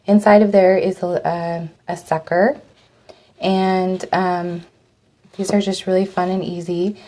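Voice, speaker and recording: A woman with a cold and a slightly raspy voice, chatting through a live step-by-step craft demo, her voice dropping off as she leans away from the mic to work. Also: on the low side, flat delivery, slow, dark tone. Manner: chatty, step-by-step instructions with fillers. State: hoarse from a cold. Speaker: craft host.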